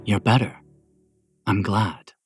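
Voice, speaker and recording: A man's voice: fairly low pitch, fairly even intonation, slow, slightly rough, no echo, faint music behind. A man playing a brooding, secretly tender death god in an amateur audio drama, speaking tersely and guardedly.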